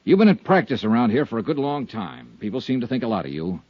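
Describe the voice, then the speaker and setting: A man's voice: medium pitch, big pitch swings, fast talker, slightly rough, no room sound. A man playing a hard-boiled insurance investigator who narrates an old radio detective drama in a firm, pressing way. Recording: dull old radio recording.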